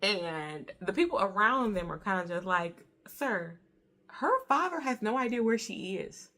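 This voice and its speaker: A woman's voice: mid-range voice, big pitch swings, unhurried, pushed and bright. An American woman in her early thirties giving a casual book review in an informal, chatty way, repeating herself for emphasis. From Detroit, Michigan, USA.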